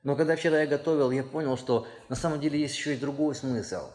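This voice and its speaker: A man's voice: fast talker, a little mellow. A man preaching a sermon as pastor, speaking earnestly and pressing his points with rising emphasis. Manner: earnest, emphatic, rises in pitch and force when stressing a point. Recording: long hall reverb.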